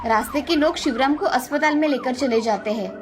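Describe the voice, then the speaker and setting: A woman's voice: high voice, fairly quick, over music. A woman narrator reading a scripted moral story for children.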